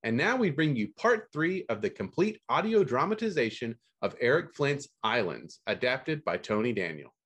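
A male podcast host wrapping up a panel and introducing the next segment, relaxed and slightly hesitant. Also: mid-range voice, normal speed, few pauses, breathy edge.

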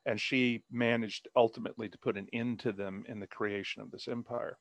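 A man's voice: lowish voice, fairly even intonation, brisk, a bit bright, no echo. Rambling man on a video call. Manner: rambling, many fillers and restarts. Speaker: author. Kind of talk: casual interview chat.

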